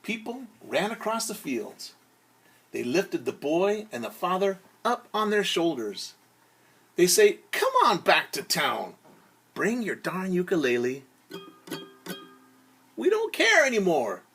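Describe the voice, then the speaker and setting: A man reads a story aloud to children with a very lively, expressive range. He takes his time, acting out moments theatrically and explaining words in simple terms, with faint music around his voice. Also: sits high, vocal fry, slightly rough. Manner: theatrical, acts out shouts, whispers and sound words; pauses to explain words simply and invite joining in. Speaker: teacher reading a story to children. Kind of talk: animated children's storytelling with singing and word explanations.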